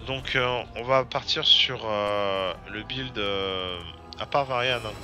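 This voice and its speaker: A man's voice: on the low side, takes it slowly. A man chatting casually as he streams a game, hesitant and full of fillers and restarts. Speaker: gaming streamer. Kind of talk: casual gameplay commentary. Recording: loud game music behind the voice.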